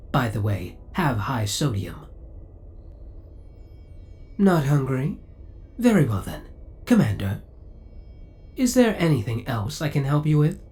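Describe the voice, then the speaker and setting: Spaceship AI , played by a man: formal, courteous, politely obsessive, with animated pitch swings, for an ASMR roleplay. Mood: courteous, attentive. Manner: formal, courteous, precise servant-like delivery. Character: devoted, possessive male spaceship AI, politely obsessive.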